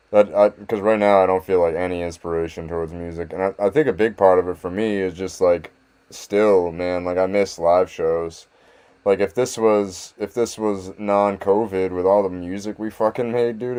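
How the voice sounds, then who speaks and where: A man in his thirties, a guest rapper, chatting casually on a podcast in a halting, self-deprecating way, laughing often. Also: sits low, mellow, clear, resonant tone.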